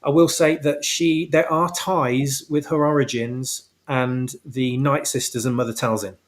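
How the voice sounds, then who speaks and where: A man's voice: mid-range voice, level tone, unhurried. A man speaking as a guest author in a casual interview, explaining his work in an emphatic, opinionated way. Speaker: guest author of fan fiction. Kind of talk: casual interview chat about his own story.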